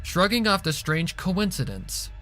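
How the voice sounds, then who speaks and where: A man's voice: high voice, big pitch swings, unhurried, sharp tone, faint music behind. A man reading a scripted story aloud as its narrator. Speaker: story reader. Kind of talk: scripted fan fiction narration.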